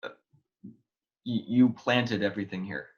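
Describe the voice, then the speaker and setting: A man playing a bewildered, exasperated young research assistant in an audio drama, incredulous and halting, on a lo-fi recording. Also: fairly low pitch, fairly even intonation, close to an everyday voice. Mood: puzzled. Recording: dull lo-fi sound.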